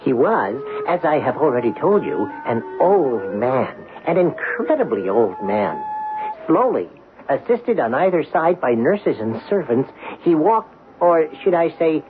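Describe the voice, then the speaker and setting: An actor voicing a talking dime that tells its own story in theatrical, deliberate radio narration with dramatic pauses. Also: male voice, on the high side, very animated. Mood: storytelling. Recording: lo-fi radio broadcast with music.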